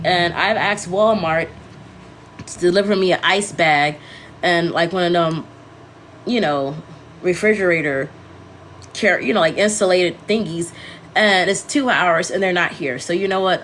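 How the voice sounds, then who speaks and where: A woman's voice: on the low side, fairly bright tone. A congested, scratchy-throated woman hosting a casual livestream, rambling and correcting herself as she talks to viewers. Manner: meandering, self-correcting, talking to viewers. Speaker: livestream host.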